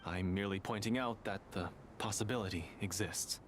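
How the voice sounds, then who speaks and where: Casting: cautious, earnest male sage for a game male actor, lowish register, formal and solemn. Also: a little fast, a little hiss or hum, natural voice. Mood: grave. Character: grave dutiful male sage, cautious and courteous. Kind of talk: video game voice acting.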